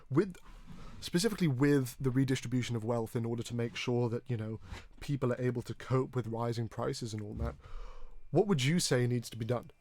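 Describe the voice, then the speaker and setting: Male podcast host, from Hong Kong, mid-pitched, earnest and wordy, stumbles over words, close dry sound. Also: a little mellow, dry recording. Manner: earnest, long-winded, halting with repeated words. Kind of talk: conversational political discussion.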